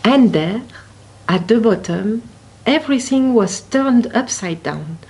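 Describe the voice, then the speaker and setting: A woman reading a children's story aloud expressively, with deliberate, dramatic emphasis on key words. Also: on the high side, lively intonation, a little mellow, a little hiss or hum. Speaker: picture book reader at a library.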